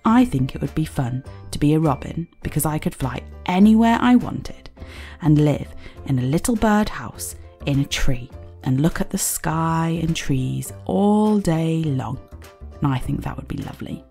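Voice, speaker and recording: A woman reading a children's story aloud in an expressive, child-directed way, as the host of a storytime. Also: sits low, a little slow, soft background music. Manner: expressive, child-directed, asks the listener questions.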